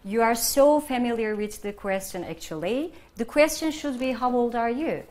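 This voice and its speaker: A woman English teacher, a native Turkish speaker, answering in a measured classroom role-play. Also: on the high side, expressive, a little mellow. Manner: measured, answering with example questions.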